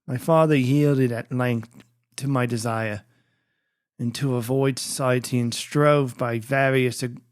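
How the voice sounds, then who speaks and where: A narrator reading a literary story in a formal, measured, dramatic audiobook style. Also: medium pitch, no room sound, studio quality.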